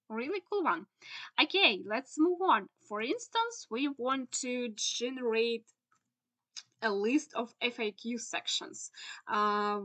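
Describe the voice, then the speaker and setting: A young woman with a Ukrainian accent, a native Ukrainian speaker, walking through a software tutorial in halting English full of "uh"s. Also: fairly high pitch. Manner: many filler "uh"s, halting non-native phrasing. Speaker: SEO instructor. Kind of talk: screen-share tutorial walkthrough.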